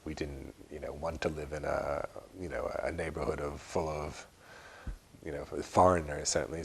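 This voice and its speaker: Older man, very deep pitch, hesitant rambling delivery, reflective interview talk, slight background noise. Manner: hesitant, rambling, self-correcting. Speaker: interviewee, long-time resident.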